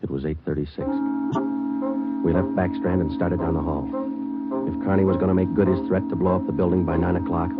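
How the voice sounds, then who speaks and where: An American actor playing a terse, dry police detective sergeant in old radio drama style, clipped and deadpan. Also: male voice, low voice, lightly acted. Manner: clipped, deadpan, matter-of-fact. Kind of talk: 1940s-50s radio drama narration and acting. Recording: muffled old radio recording with music.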